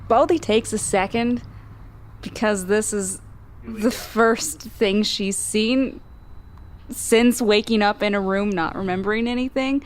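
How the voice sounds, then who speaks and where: A woman's voice: medium pitch, steady tone, slow. A woman roleplaying at a tabletop game, informally narrating what her character does.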